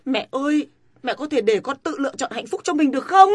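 A woman's voice: sits high, expressive, a little fast, dry recording, clean studio sound. An actress playing a stubborn young daughter who pleads with her mother in a family drama. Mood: pleading. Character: headstrong young woman defying her mother. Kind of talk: radio-style family drama.

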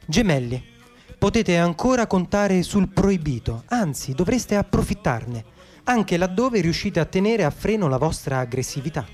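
An actor playing a mock-earnest co-announcer on a satirical army radio programme, reading scripted items in a formal, emphatic style. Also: male voice, sits high, big pitch swings, moderate pace, darkish tone, soft background music. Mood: brisk bulletin. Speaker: radio co-presenter.

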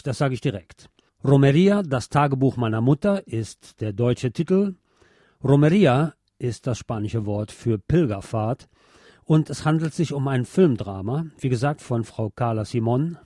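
A man's voice: medium pitch, mellow, no room sound, clean studio sound. A man presenting a film review as a show host, partly scripted.

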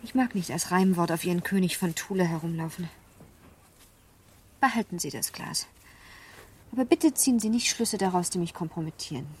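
A woman's voice: fairly low pitch, normal speed, long pauses, a little mellow, husky. An actress in a German radio play playing a spirited, coquettishly reproachful young married noblewoman with a pert, genteel manner. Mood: indignant, teasing.

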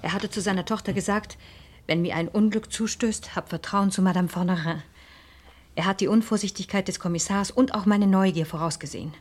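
An actress playing a curious, composed madame in a radio crime drama, speaking in a reflective, wry way. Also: female voice, mid-range voice, fairly quick, a little mellow, lightly acted. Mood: wry, uneasy. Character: curious, composed madame narrating her own dangerous case. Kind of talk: German radio crime drama acting and narration.

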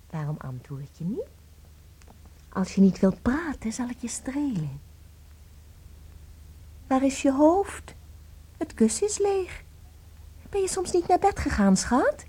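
A woman acting in a radio play as an adoring, excitable wife, cooing and theatrical. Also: sits high, slow pace, pauses often, full character voice. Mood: uneasy, startled. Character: adoring, gushing wife, tender and excitable, babying her tiny husband.

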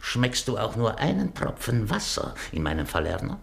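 A man's voice: on the low side, wildly swooping pitch, moderate pace, gravelly, full character voice. An actor playing a gloating, miserly Venetian schemer in a German radio drama, speaking theatrically and savouring his words. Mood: scornful, lecturing. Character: gloating, miserly rich Venetian schemer who feigns dying.

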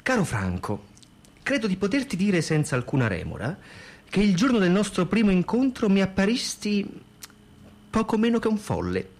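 A man reading a letter aloud for radio theatre in an expressive, theatrical way. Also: high voice, moderate pace. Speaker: radio reader.

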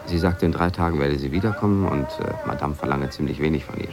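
An actor playing a worried husband in a radio drama, recounting events in a measured, confiding way. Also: male voice, very deep voice. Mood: reflective, concerned. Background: music.

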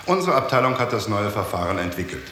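Casting: officious senior bureaucrat, lively swooping intonation, radio play in very echoey hall. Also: male voice, lowish voice, fast talker. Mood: officious, self-satisfied. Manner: animated, officious. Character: brisk male senior official, self-satisfied, chairing a conference. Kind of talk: 1960s German radio drama acting. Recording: very echoey hall, slight hiss.

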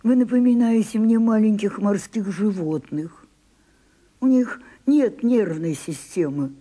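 An actress in a radio play, playing an imperious, capricious ageing stage diva with a theatrical, commanding, husky delivery. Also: female voice, medium pitch, measured pace, dark tone, close to an everyday voice. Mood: teasing, fond.

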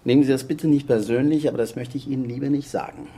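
A man's voice: medium pitch, steady tone, quick pace, warm tone, husky. A man playing a guarded, polite aid worker in a radio drama.

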